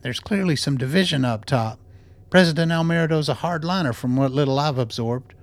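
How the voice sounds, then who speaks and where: Guy playing older scientist in an audio drama, voice on the higher side, careful n measured, kinda wry. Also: no room sound, natural voice. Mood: careful, practical. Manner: measured, reflective. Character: thoughtful, cautious aging male scientist, gently wry. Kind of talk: radio play acting.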